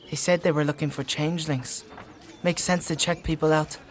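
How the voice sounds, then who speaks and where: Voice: mid-range voice, fairly even intonation, normal speed, slight background noise, lightly acted. Actor playing young squire boy, earnest, plain-spoken, questioning, compassionate, fantasy audio drama, animals and birds in background. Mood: casual, curious.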